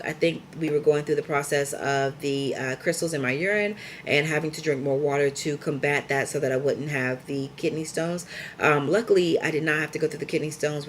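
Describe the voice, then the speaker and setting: A young woman with a scratchy, raspy voice from a lingering cold, talking casually in a rambling vlog update full of ums and asides. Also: low voice. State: recovering from a cold. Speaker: vlogger.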